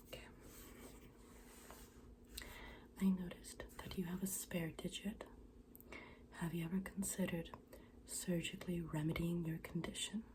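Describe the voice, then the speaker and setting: A woman playing a morbid, deadpan schoolgirl in an ASMR roleplay, speaking softly and formally, often slipping into a whisper. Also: lowish voice, lightly acted. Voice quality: soft, breathy, often dropping into whisper. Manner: deadpan, formal, deliberate wording.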